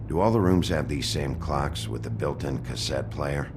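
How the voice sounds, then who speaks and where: An actor playing a gruff, weary male detective with a gravelly voice and a terse manner in a video game. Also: extremely low pitch, normal speed, noisy background. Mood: brooding, analytical. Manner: terse, hard-boiled. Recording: loud music bed.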